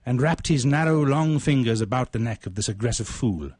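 Male author-narrator for literary tale: measured, ornate delivery, medium pitch, dry sound. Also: expressive, a little mellow, breathy edge, no echo. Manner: ornate, deliberate, measured phrasing. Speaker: writer reading own short story. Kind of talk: dramatic literary story reading.

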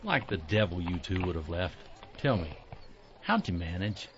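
A man voicing an army captain who is brisk, teasing and good-humored. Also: deep voice, very animated, background noise. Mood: gruff, amused. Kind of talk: audio drama acting. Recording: muffled lo-fi recording.

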